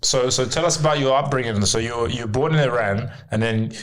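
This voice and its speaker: A man's voice: medium pitch, a little fast, poor audio. A man hosting an interview in a casual, conversational way, with informal questions full of "like". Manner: informal, frequent "like" and "right?". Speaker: interviewer, host.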